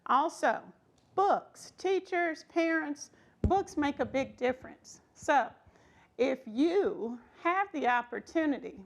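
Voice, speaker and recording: A woman's voice: high-pitched, animated, takes it slowly, vocal fry. A middle-aged woman giving an informal read-aloud storytime with folksy, conversational asides. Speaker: storytime reader, schoolteacher.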